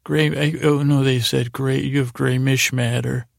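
A man with a gravelly, creaky voice hosting a podcast in a rambling bedtime monologue, halting and repeating himself as he meanders along. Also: medium pitch, flat delivery, no room sound, studio quality. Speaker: podcast host.

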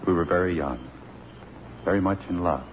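An actor playing a suave, middle-aged college president, measured and earnestly romantic, in mid-century American radio drama. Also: male voice, low-pitched, a little hiss or hum, close to an everyday voice. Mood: tender, earnest. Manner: measured, courtly. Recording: dull old radio recording, music behind.

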